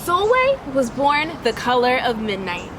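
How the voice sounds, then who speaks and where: Female teacher for children's read-aloud: high-pitched, expressive storyteller, instructive, noticeable background noise. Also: unhurried. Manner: instructional, addressing young listeners with questions. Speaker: teacher reading a picture book aloud.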